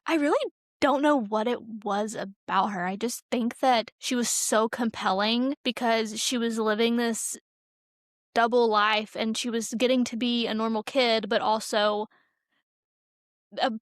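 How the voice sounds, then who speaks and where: A woman's voice: on the high side, fairly even intonation, unhurried, no room sound, clean studio sound. A young American woman from Tennessee chatting casually as a podcast co-host, telling personal stories in a chatty way. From Tennessee, USA. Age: young adult.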